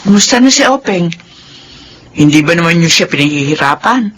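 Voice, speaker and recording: Actress as an animated, earnest Filipino mother. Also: on the low side, a little fast, slight background noise, lightly acted. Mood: concerned. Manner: admonishing, earnest. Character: concerned, advice-giving mother of a married daughter. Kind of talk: Filipino radio drama acting.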